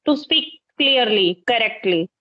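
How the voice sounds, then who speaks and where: A woman with an Indian accent hosts a relaxed practice conversation, speaking in a slightly high voice. She pauses often on "uh" as she searches for words. The recording sounds dull and lo-fi. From Haryana, northern India. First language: Hindi. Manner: hesitant, many uh fillers. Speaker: teacher and channel host.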